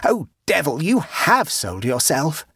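Man voicing a clever, cheeky storybook boy; lifted pitch, sly innocence. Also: very animated, moderate pace, slightly rough, no room sound, clean studio sound, heavily acted. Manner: innocent but sly, gleeful.

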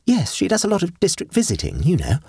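A mischievous sixteen-year-old girl, as a male reader puts her on, airy and teasing, lifted far above his own register. Her husky voice swoops with lively, sing-song pitch. The audiobook sound is dry and close. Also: quick pace, no echo, heavily acted.

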